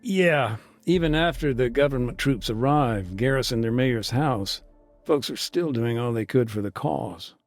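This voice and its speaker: Weary, reflective aid worker over music. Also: male voice, mid-range voice, expressive. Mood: candid, reflective. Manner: measured, hesitant, reflective. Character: weary, earnest male humanitarian aid worker recounting a war. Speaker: humanitarian aid worker being interviewed. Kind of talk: naturalistic video game dialogue, interview recollection.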